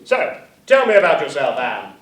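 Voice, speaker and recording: Guy playing pompous film director, high voice all over the place, bombastic stage radio comedy, echoey. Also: huge pitch swings, normal speed, fairly bright tone. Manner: theatrical, bombastic declaiming. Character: pompous, blustering male film director, defiant and tactless. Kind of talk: comic stage radio-theatre parody. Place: arts centre stage, live performance. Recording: roomy live stage, distant mic.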